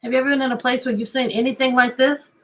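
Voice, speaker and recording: A woman teacher reading a picture book aloud to children, animated and coaxing, often repeating questions to draw out answers. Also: high-pitched, racing. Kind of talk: interactive children's read-aloud.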